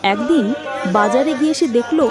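A woman narrating a moral cartoon story in a solemn, measured way. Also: on the high side, over music.

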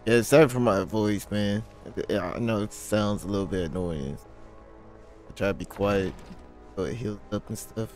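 A young man hosting a gameplay channel, his voice hoarse and nearly gone, rambling in a laid-back way. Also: lowish voice, moderate pace, darkish tone, soft background music. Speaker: gaming channel host. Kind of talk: casual gameplay commentary.

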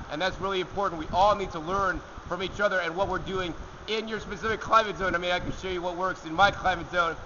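A young man hosting a gardening show, answering viewer questions to camera outdoors in a rock alcove, energetic and gesturing as he talks. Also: sits high, muffled recording, background noise. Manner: energetic, talks with his hands.

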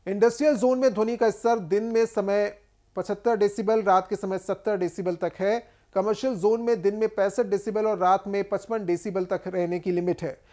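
A man's voice: high voice, darkish tone. Male news anchor. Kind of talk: scripted news narration.

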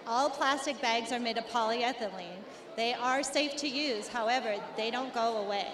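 A woman's voice: a little high, moderate pace. A middle-aged woman representing a company, explaining her work earnestly into a handheld mic at an indoor expo. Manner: earnest, explanatory, many restarts. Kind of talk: expo interview. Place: indoor expo hall before a backdrop. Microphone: handheld mic held by the interviewer. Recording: echoey hall with crowd noise.

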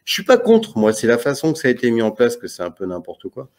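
A man's voice: mid-range voice, huge pitch swings, fast talker. A man, a guest on a live video call, talking hesitantly with many fillers and jokes. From Paris region, France. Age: 40s. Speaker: YouTube channel guest. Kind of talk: casual live video chat. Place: indoor room with arcade cabinet.

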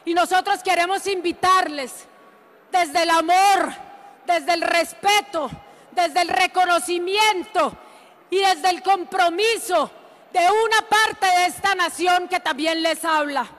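A woman, delivering a forceful, indignant political speech in a parliament chamber. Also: very high-pitched, slow pace, in a large hall. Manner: forceful, indignant, emphatic oratory. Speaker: congresswoman. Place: large chamber of parliament, indoors.

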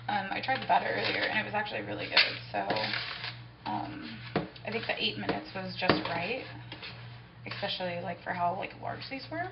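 A woman's voice: mid-range voice, sing-song, moderate pace, gravelly, heavy background noise. Young woman chatting casually, distant and roomy. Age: young adult. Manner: easygoing, rambling, self-excusing. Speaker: home cooking vlogger. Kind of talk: casual unplanned chat to camera while baking. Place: home kitchen, indoors. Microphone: camera mic a few feet away. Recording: roomy, distant, dull camera audio with music.

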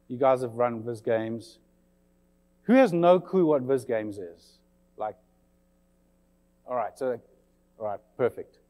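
A man's voice: mid-range voice, a little mellow. South African man presenting in a hall. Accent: South African, slightly English-tinged. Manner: friendly, chatty, with many fillers. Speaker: conference presenter. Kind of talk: conference talk to an audience. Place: conference session room.

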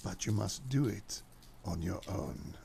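An actor playing a suave, commanding French vampire lover, with a gravelly voice and formal, seductive delivery in a gothic radio drama. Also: male voice, sits low, big pitch swings, measured pace, dark tone, a little hiss or hum, full character voice. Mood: solemn, urging.

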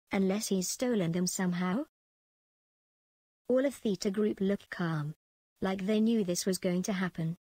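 A woman's voice: mid-range voice, darkish tone, dry recording, close to an everyday voice. An actress playing a ruthless female prison commandant in a space empire, dictating clipped official log entries for a video game. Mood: wary, calculating.

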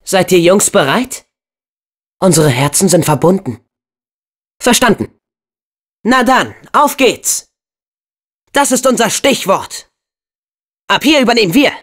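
A young man voicing an upbeat, brave and earnest teenage boy hero in a video game, with an expressive, youthful delivery. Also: high-pitched, slow, long pauses, exaggerated voice.

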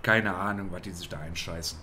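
A very deep male voice of an actor plays a weary, dismissive cop in a detective parody. He grumbles offhandedly in colloquial speech, with faint ambience behind him. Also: fairly even intonation, brisk, sharp tone, slight background noise. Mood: jaded, dismissive. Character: jaded, dismissive policeman in a parody detective audio play. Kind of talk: parody audio drama acting.

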